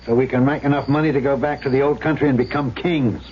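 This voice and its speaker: An actor playing a world-weary, gruff but soft-hearted colonial trader in a 1970s radio drama. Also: male voice, mid-range voice, steady tone, fast talker, a little hiss or hum, lightly acted. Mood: troubled, bitter. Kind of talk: 1970s radio drama acting with first-person narration. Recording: dull vintage radio with music.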